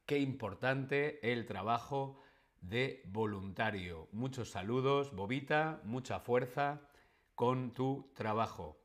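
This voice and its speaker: Man, Spanish teacher, live stream lesson, very slow deliberate pace, mid pitch, repeats words. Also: a bit bright. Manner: reads viewer chat questions aloud.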